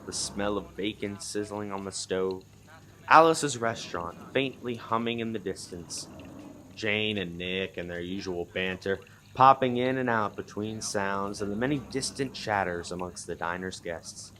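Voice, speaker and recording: A man narrating a radio play in a theatrical, scripted storytelling style that builds from hushed to loud. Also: lowish voice, a little slow, slight background noise.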